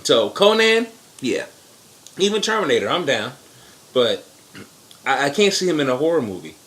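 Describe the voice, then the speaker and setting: A man giving blunt, profane opinions in casual chat as co-host, with sudden jumps in pitch. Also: sing-song, moderate pace, a bit bright.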